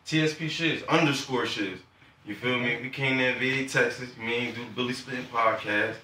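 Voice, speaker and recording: A man from Philadelphia talks casually as a podcast guest. His voice sits in a middle pitch, in an echoey room with some background noise. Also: moderate pace, bright, forceful tone. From Philadelphia, United States. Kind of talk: casual group chat. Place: lounge with several people on couches. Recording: echoey, distant, noisy room sound.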